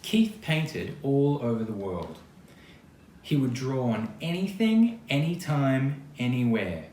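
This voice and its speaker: Expressive man reading a storybook, lively pitch. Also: slow pace. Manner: expressive, acts out quoted lines, chatty asides. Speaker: storybook reader. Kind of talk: read-aloud of a children's picture book with asides. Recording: roomy echo, faint background noise.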